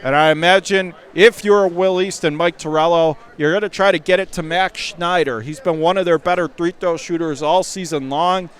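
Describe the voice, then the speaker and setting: A man doing live radio play-by-play of a high school basketball game from the gym, emphatically restating the score and clock. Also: steady tone, normal speed, hardly any pauses, a bit bright, smooth. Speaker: play-by-play sports announcer.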